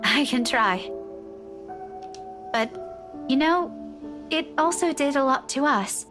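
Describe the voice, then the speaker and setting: A woman's voice: high voice, animated, slow. An actress voicing an earnest young woman in a visual novel, speaking hesitantly and sincerely, as one would to a childhood friend. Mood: wistful, troubled. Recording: music playing behind the voice.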